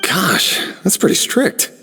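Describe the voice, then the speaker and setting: An actor playing an easygoing young diner who talks in a casual, wry way. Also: male voice, takes it slowly, pushed and bright, soft background music. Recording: reverb.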